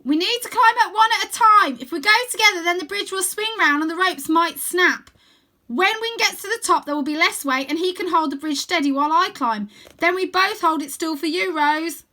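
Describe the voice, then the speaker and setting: A woman's voice: very high voice, fairly quick, pushed and bright, voice pushed up. A woman voicing an anxious, earnest young boy in a storybook, speaking urgently. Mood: urgent, determined.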